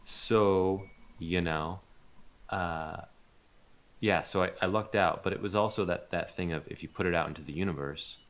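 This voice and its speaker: A man speaking as an interviewed voice actor, chatting casually in a rambling, informal way and pausing to think. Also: low-pitched. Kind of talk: casual chat in a livestreamed interview.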